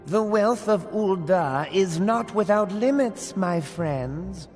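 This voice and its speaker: A scheming merchant lord, high and sing-song, slow drawl, echoey hall, game music behind. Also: male voice, animated, a little mellow. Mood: contemptuous. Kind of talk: dubbed game cutscene.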